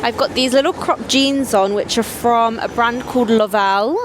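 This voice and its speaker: A woman's voice: fairly high pitch, normal speed, a little hiss or hum. A young woman, chatting casually to camera for her vlog, upbeat and full of "like" and "so". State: voice going, getting sick. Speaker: vlogger.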